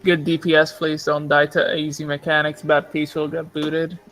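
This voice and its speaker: A man with a fairly high voice chats casually with gaming friends. He sounds informal and easygoing, with faint game music underneath. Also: completely flat delivery, normal speed, a bit bright. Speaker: gamer friend in voice chat.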